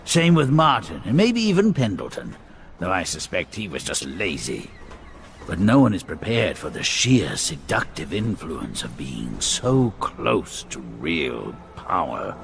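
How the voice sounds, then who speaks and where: A man playing a pompous, philosophical gentleman in a video game, formal and theatrical. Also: low-pitched, huge pitch swings, a little slow, creaky, slight background noise, full character voice. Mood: lofty, reflective. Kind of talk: dramatic video game voice acting.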